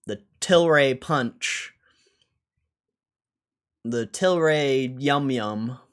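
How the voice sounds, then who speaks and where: A man with a mid-range voice talks slowly as he thinks aloud on a livestream. His delivery is casual and offhand, full of slang and blunt swearing. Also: mid-range voice, smooth. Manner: foul-mouthed, blunt. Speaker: stock market livestreamer.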